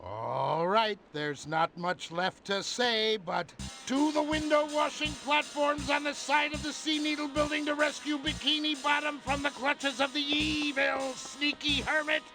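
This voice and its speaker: Doddery old superhero geezer, high creaky voice, slow and hammy, cartoon game. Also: male voice, over music. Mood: grandly heroic. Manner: pompous heroic declaiming, befuddled. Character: doddering old male superhero, earnest, hammy, easily confused. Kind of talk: cartoon video game acting.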